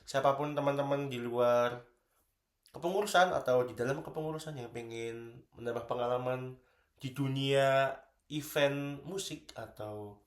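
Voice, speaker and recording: A young woman explains things casually, like a committee member among peers. Her voice is strikingly deep, and the recording is full of room echo. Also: a little slow. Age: young adult. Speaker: student committee member presenting events. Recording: very roomy, echoey indoor sound.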